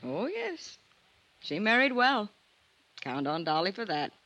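An actress playing a resentful, folksy spinster housekeeper with clipped, sardonic talk in an old-time radio drama. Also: female voice, mid-range voice, wildly swooping pitch, takes it slowly, a bit bright, full character voice. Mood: bitter, resentful.

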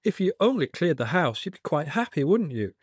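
Guy as cheeky young son in a story, jokey teasing, highish n husky, super animated. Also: fairly quick, dry recording, studio quality. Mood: playful teasing. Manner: flippant, teasing. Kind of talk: dramatised storybook character reading.

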